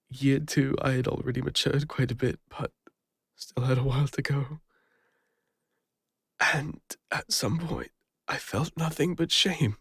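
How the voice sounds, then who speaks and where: Man acting a vulnerable English adventurer, creaky voice. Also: fairly high pitch, dry recording, clean studio sound. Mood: reflective, ashamed. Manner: hesitant, confessional, halting with pauses. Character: regretful, vulnerable fantasy adventurer and healer opening up to a friend. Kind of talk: intimate scripted audio roleplay monologue.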